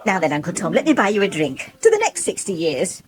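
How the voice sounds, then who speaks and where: An actress playing a brisk, opinionated, house-proud older village aunt with an English accent in a radio soap. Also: female voice, mid-range voice, huge pitch swings, slightly rough. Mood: brisk, sociable.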